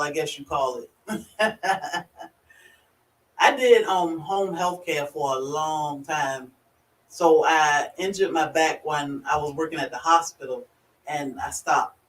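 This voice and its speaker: Country-voiced Virginia woman in an echoey room. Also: low-pitched. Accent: rural Virginia. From Virginia, USA. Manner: chatty, laughs often, greets viewers by name. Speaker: livestream host. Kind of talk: casual live chat. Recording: echoey room, far from the mic.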